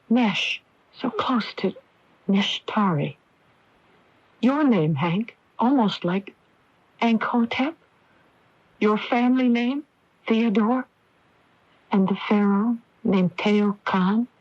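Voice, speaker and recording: An actress playing an earnest, fearful young heroine, dramatic and breathless, in an old radio drama. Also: female voice, medium pitch, slow pace, pauses often. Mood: urgent, fearful. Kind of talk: 1980s radio drama acting and narration. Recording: dull lo-fi old radio broadcast.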